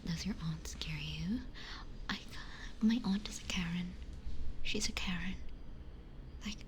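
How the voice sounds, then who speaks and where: A woman streamer chatting casually in a breathy ASMR whisper into a close binaural microphone, telling stories in a candid, gossipy way. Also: on the low side, slow pace, a little hiss or hum. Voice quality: breathy, mostly unvoiced whisper.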